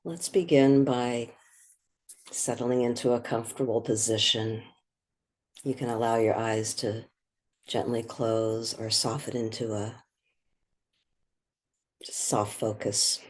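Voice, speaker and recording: This is a guided meditation voice, sitting at a middling pitch. The delivery is slow and measured, with long pauses. It stays soothing and contemplative, gently leading the listener along. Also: steady tone, slight background noise.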